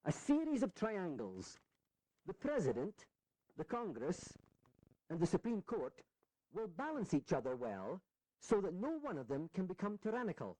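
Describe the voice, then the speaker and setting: Casting: genial colonial legislator, measured explainer, high voice with very animated melody, period radio play. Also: male voice, no echo, exaggerated voice, low-quality recording. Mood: satisfied. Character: genial, orderly founding-era statesman explaining his plan. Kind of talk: historical audio drama acting.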